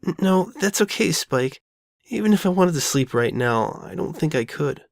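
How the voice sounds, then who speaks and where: A woman's voice: low-pitched, animated, gravelly, dry recording, studio quality. A woman voicing a frightened, guilt-ridden young female pony who stammers and doubts herself in an audio drama. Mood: scared, weary. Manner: stammering, halting, anxious. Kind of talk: all-dialogue fan audio drama.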